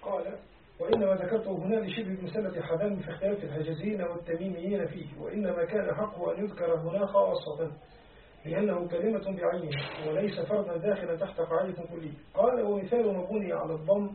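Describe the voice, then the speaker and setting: A man reading a classical text aloud in measured phrases as a student. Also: high voice. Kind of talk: formal recitation of a classical text. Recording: distant, roomy, tinny phone line with faint noise.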